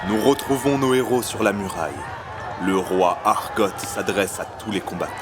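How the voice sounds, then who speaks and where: A man's voice: on the low side, lively intonation, moderate pace, a loud crowd, very noisy. A man narrating a fantasy audio saga in a scripted, dramatic storytelling style. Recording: loud music over the voice.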